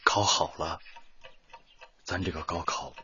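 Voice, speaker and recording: A man's voice: low-pitched, takes it slowly, background noise. A man playing a devoted volunteer teacher in a radio drama, telling his story in an earnest, reflective, emotive way. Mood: gentle, earnest. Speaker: narrator and lead character. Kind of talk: dramatic radio-play narration and dialogue. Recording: muffled radio drama under prominent music.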